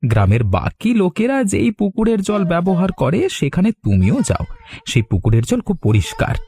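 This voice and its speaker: An actor voicing a good-natured village man in a cartoon, in an expressive, husky voice. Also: high voice, normal speed. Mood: cheerful, chatty. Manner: animated, expressive. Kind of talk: Bengali cartoon voice acting.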